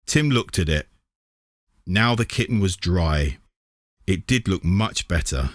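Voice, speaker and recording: A man narrates a children's storybook in a very low register. His reading is slow and measured, recorded close and dry with no room around it. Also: lively intonation, no room sound. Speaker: reader of a children's book.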